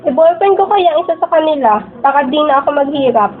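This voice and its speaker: Very high, boy-crazy schoolgirl played by a woman. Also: expressive, fast talker. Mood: chatty. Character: boy-crazy, envious teenage schoolgirl friend. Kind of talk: radio drama acting. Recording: tinny phone-like audio.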